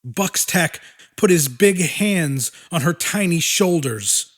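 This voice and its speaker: Slow, dramatic male audiobook narrator. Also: on the high side, expressive. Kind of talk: dramatic scripted narration of horror fiction.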